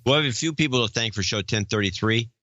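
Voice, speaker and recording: A man co-hosting a podcast, talking casually and reading out donor names in a gruff, offhand manner. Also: mid-range voice, fast, bright, forceful tone, muffled recording. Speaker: podcast co-host.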